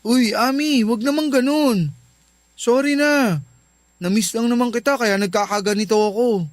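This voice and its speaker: A man's voice: very high-pitched, normal speed, dry recording. An actor playing a clingy young male student in radio drama dialogue, speaking in a pleading, insistent way. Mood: pleading, hurt.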